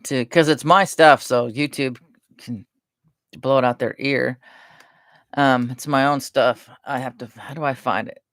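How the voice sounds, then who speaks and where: A soft-spoken woman chatting casually and rambling between topics on a live stream. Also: rumbling low, steady tone, unhurried, no echo, studio quality.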